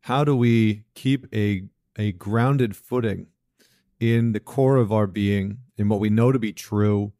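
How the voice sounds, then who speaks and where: A man in his thirties talks alone to his listeners in a reflective, earnest way, often restarting a thought and leaning on fillers. His voice sits fairly low. The sound is close and dry, with no room around it. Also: slow, mellow, dry recording. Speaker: podcast host, men's coach. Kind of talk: casual solo talk with a read passage.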